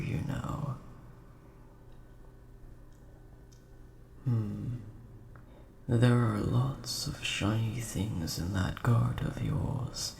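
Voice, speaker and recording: A man voicing a large, mild-mannered, nerdy dragon with a gravelly voice and a polite, easily offended manner, in a scripted audio roleplay spoken to the listener. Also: lowish voice, heavily acted. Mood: drowsy, chiding. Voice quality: gravelly, creaky. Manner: polite, measured, mildly indignant. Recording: roomy echo, faint background hiss.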